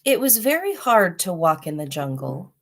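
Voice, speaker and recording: Lower-pitched woman reading a children's story. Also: very animated, normal speed, creaky. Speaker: storybook reader, host of a read-aloud library. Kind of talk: expressive children's story read-aloud with casual asides.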